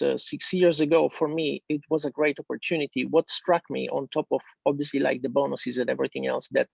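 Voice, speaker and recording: A man with an Italian accent speaking on a video call, chatty and relaxed with many fillers. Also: a little high, vocal fry, no room sound. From Italy. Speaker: guest sharing business experience. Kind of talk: casual video call talk. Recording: dull lo-fi call audio.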